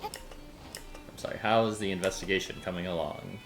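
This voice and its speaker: Wry deep-voiced man streaming a game. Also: fairly even intonation, brisk. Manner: offhand, wry asides. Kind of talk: casual gameplay commentary.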